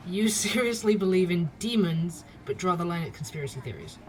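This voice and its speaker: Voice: mid-range voice, animated, brisk, close to an everyday voice. An actor playing a young, curious, skeptical night-shift barista who narrates an investigation in a musing, conversational way for an audio drama.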